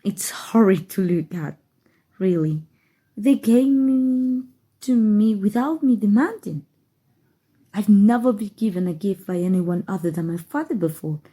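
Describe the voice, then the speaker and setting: A haughty evil queen, played by a woman with a Mexican accent, speaks at a leisurely pace in a dark, breathy voice. She muses on her schemes with playful condescension, as if to a single listener in an ASMR roleplay. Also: mid-range voice. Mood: puzzled, disdainful. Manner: slow, measured, musing delivery addressed to a listener.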